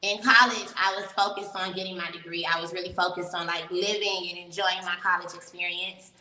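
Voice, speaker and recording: A young woman from Miami talks as an interview guest, frank and chatty, telling her story with easy laughs. Her voice is bright and forward. She sits back from the mic in an echoey room. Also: fairly low pitch, steady tone, a little fast, recorded from a distance. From Miami, Florida. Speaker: guest, professional dancer. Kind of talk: casual interview chat.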